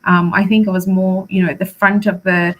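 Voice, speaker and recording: A woman from Malaysia with Malay as her first language talks in a casual interview. She has a mid-pitched voice and a chatty, emphatic way of speaking, as a teacher telling about herself. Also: little pitch movement, a little fast, a bit bright. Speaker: primary school teacher, interview guest.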